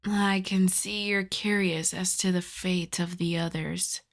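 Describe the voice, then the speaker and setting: A woman voicing a seductive, possessive female vampire in an intimate ASMR roleplay, speaking softly and teasingly, often in a half-whisper. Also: fairly low pitch, steady tone. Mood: wistful, teasing. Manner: slow, soft, breathy half-whispered teasing delivery.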